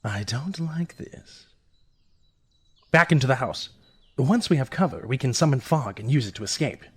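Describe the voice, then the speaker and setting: An actor playing a cold, cruel weather mage in an audio drama, speaking with smug, deliberate menace. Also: male voice, mid-range voice, animated, fairly bright tone, breathy edge. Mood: tense, threatening.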